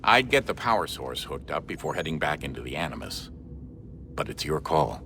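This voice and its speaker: A man's voice: extremely low pitch, sing-song, moderate pace, vocal fry, sharp tone, slight background noise, heavily acted. An actor playing a stern adult man in a sci-fi video game thriller. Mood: matter-of-fact. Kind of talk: dramatic video game acting.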